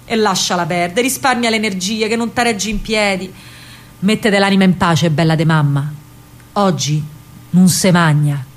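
A woman's voice: mid-range voice, moderate pace, lightly acted. A woman voicing a weary, resigned working-class Roman mother, plain-spoken and soothing, in a radio play.

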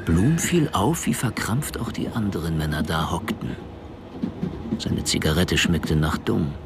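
A man's voice: deep voice, sing-song, a little slow, gravelly, very noisy, exaggerated voice. A man narrating a crime story as the scripted storyteller of a radio drama.

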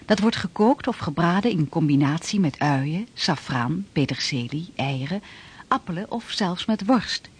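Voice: mid-range voice, lively intonation, unhurried, husky. A narrator giving matter-of-fact scripted historical narration within a radio play. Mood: informative.

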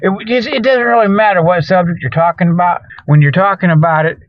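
A man's voice: high-pitched, fast talker, no room sound. A middle-aged man who sounds a little tired, hosting a comedy show with a rambling, folksy, jokey improvised monologue. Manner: folksy, jokey, meandering, chuckles at own jokes. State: tired, weary. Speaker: host of a comedic Bigfoot show. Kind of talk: rambling improvised monologue with mock sales pitches. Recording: dull lo-fi radio-style audio.

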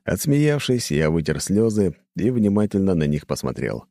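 A man's voice: low-pitched, very animated, moderate pace, gravelly, dark tone, dry recording, studio quality. A man narrating first-person fiction as an audiobook reader, in a scripted storytelling style.

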